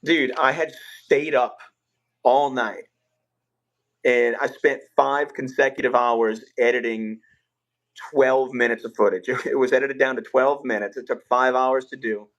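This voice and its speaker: A young man hosts a livestream in a loose, rambling way, full of filler words. His voice sounds a little rough and hoarse, and the audio is slightly muffled. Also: mid-range voice, steady tone, unhurried, fairly bright tone. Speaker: livestream host. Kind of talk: casual livestream chat.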